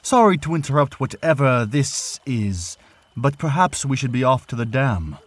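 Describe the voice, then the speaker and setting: Animated, husky aquatic prince played by a man. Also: medium pitch, normal speed, no room sound, close to an everyday voice. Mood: polite. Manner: theatrical, voice cracking when alarmed. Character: courteous, excitable male aquatic prince. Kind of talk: audio drama acting.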